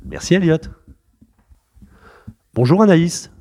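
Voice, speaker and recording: A man's voice: a little high, wildly swooping pitch, slow, dark tone. A man interviewing students at a school, talking loosely and hesitantly with many fillers and restarts. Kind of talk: informal interview.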